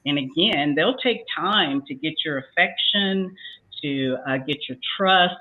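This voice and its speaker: Older woman, lively storytelling, tinny sound. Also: sits low, unhurried. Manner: lively storyteller acting out both sides of a conversation. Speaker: talk show panelist. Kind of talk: casual advice talk.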